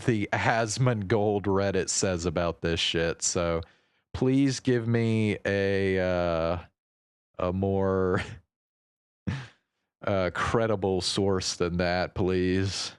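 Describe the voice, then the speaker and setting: A man with a deep, smooth voice hosts a casual livestream. He speaks slowly, thinking out loud with hesitations and the odd swear word. The sound is close and dry. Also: no echo. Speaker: livestream host. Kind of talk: casual unscripted livestream chat.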